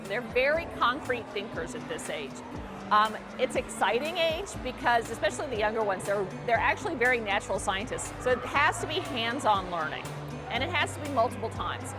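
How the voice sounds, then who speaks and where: A woman's voice: a little high, sing-song, brisk. A woman explaining things conversationally in an interview, as an education expert. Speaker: museum education director being interviewed. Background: clear background music.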